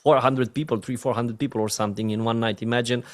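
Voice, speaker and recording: A man's voice: mid-range voice, steady tone, fast talker. A man with a Romanian accent, a network marketing trainer giving a training talk in an emphatic way, often checking in with his listeners. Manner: emphatic, often asks "right?" and "my friend".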